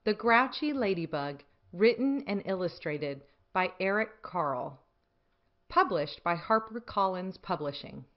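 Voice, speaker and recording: A woman's voice: medium pitch, animated, measured pace, highs cut off. A woman narrating a children's picture book aloud as a scripted story reading.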